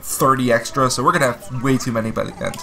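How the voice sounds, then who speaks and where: A man casually commenting on a video game he is playing, relaxed and chatty. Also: medium pitch, fast talker, slight background noise. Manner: relaxed, chatty, laughs often. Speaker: let's play player.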